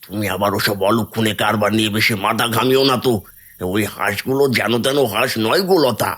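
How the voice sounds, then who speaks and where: A man's voice: lowish voice, fairly even intonation, brisk, a bit bright, a little hiss or hum, lightly acted. A man playing a greedy, sly village thief in a fairy-tale cartoon, plotting and dismissive. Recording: music behind the voice.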